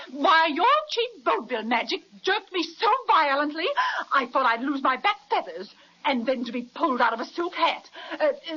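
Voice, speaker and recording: A woman's voice: sits high, bright, forceful tone. An actress playing a haughty, indignant female pelican in an old-time children's radio serial, sputtering pompously. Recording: dull, band-limited old radio recording.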